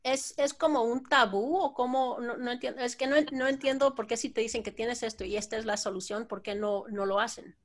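A woman's voice: fairly high pitch, steady tone, brisk, hardly any pauses. A woman from Texas in casual conversation, speaking hesitantly as she searches for words and questions things. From Texas, United States.

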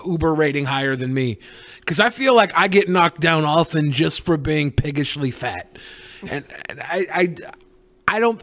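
A man's voice: a little high, fairly bright tone, dry recording. A man co-hosting a podcast with casual, crude comedic banter, blunt and full of swearing. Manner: profane, blunt, joking. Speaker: podcast co-host.

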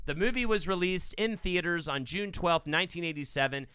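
A man's voice: sits high, expressive, a little fast, sharp tone, clean tone, dry recording, studio quality. A man co-hosting a film podcast in casual chat, upbeat and reading facts and quotes aloud. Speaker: podcast co-host.

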